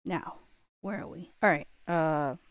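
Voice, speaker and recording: A woman's voice: sits low, measured pace. A woman livestreamer chatting casually and thinking aloud, with a playful, self-deprecating and hesitant manner, muttering to herself as she works.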